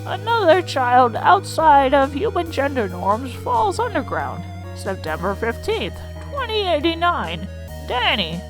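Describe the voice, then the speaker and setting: A woman's voice: high voice, heavily acted, low-quality recording. A woman voicing a folksy, wry old monster schoolteacher in a fan comic dub, explaining things in colloquial speech. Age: older. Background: music.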